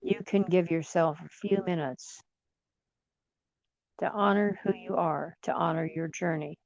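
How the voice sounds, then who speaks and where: A woman leading guided imagery in a soothing, gentle manner, leaving long pauses between phrases. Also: fairly low pitch, steady tone, creaky, dry recording, muffled recording. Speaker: guided meditation leader.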